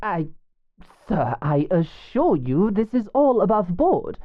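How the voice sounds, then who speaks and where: A woman's voice: mid-range voice, very animated, slow pace, muffled recording. A woman playing a nervous male con man who poses as a physician in a medieval fantasy audio drama, polite, flustered and apologetic. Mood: placating.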